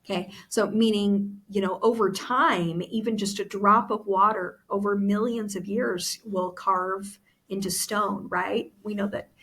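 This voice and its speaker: A woman's voice: mid-range voice, fairly even intonation, measured pace, a bit bright, husky. A woman teacher reading a story aloud expressively, with explanatory asides.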